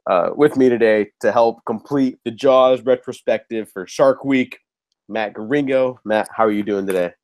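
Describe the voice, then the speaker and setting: Man, podcast host, mid pitch, a bit nasal from a cold, casual, chatty. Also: normal speed, a little mellow. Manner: chatty, restarts, casual swearing. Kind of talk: casual movie podcast chat.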